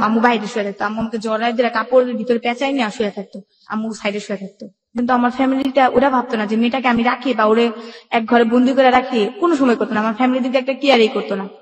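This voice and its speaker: A young woman with a colloquial Bangladeshi accent telling a personal story as a radio guest, in run-on everyday speech, recorded with an echoey reverb. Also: on the high side. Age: young adult. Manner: colloquial, run-on recounting with quoted dialogue. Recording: echoey room with long reverb.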